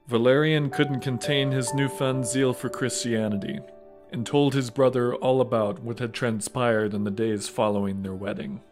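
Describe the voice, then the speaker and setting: A man's voice: medium pitch, steady tone, moderate pace, music in the background. A man reading scripted storytelling narration for an audio drama.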